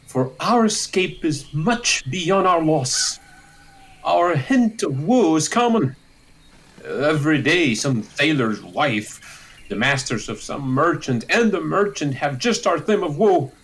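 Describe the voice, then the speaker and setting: A man's voice: on the high side, big pitch swings, slow, a bit bright, heavily acted, low-quality recording. A man playing a kindly, optimistic, long-winded old courtier in a Shakespearean audio drama, wordy and earnest. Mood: earnest cheer.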